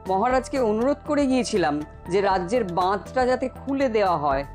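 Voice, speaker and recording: A woman's voice: medium pitch, expressive, quick pace, a little mellow, soft background music, close to an everyday voice. A woman playing an indignant cartoon villager with an accusing, emphatic way of speaking. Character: indignant villager complaining to the royal court. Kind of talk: Bengali cartoon dubbing.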